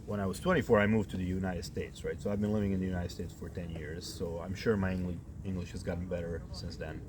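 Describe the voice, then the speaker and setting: A man in his thirties from Croatia, answering interview questions in a relaxed way and often saying "you know" and "right?". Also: deep voice, fast talker, noisy background. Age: 30s.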